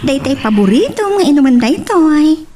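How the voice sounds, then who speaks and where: Woman acting a coy, very high-voiced flirt. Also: very animated, normal speed, dark tone, far from the mic. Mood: flirty, coy. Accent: Ilocano. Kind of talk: amateur Ilocano audio drama acting.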